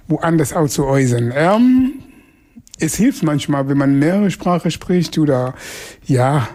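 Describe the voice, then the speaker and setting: A man, a Makonde speaker, talking through an interview in a halting way with many fillers and restarts. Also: fairly high pitch, darkish tone. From Mozambique. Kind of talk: casual spoken commentary on an interview.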